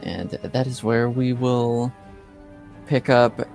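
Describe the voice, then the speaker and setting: A man running a tabletop game as game master, recapping the story casually in a halting, thoughtful way with long pauses. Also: medium pitch, flat delivery, creaky, darkish tone, soft background music.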